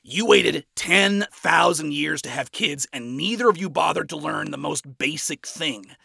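A man's voice: a little high, lively intonation, a little slow, gravelly, bright, forceful tone, breathy edge, no room sound, studio quality, pitched above the performer's own voice, exaggerated voice. A man voicing a brash, foul-mouthed, scornful man with a blunt, sarcastic way of talking in a story. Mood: scornful, exasperated.

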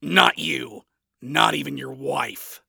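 A man's voice: mid-range voice, slow pace, gravelly, sharp tone, slightly rough, no room sound, clean studio sound, exaggerated voice. A man voicing a brash, foul-mouthed, scornful man with a blunt, sarcastic way of talking in a story. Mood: blunt, flat.

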